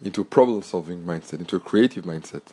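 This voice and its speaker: A middle-aged man with a French accent, hoarse from a cold, hosting a solo podcast in an earnest, motivational way. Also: low voice, fast, dark tone. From Belgium. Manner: earnest, repetitive and motivational, with restarts. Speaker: podcast host.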